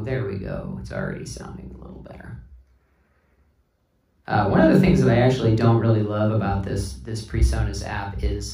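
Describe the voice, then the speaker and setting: A man with a lowish voice and plenty of fry explains things casually, step by step. He is unhurried, like an instructor working as he talks. The sound is roomy, picked up at a distance from the mic. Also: dark tone. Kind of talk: casual hands-on tutorial talk. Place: indoor room with sound system.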